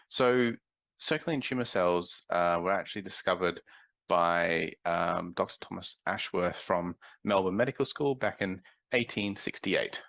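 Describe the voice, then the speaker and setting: A man presenting a scientific conference talk, sounding nasal from a cold, explaining in a measured way with frequent ums. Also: deep voice, gravelly, fairly bright tone, dry recording, muffled recording.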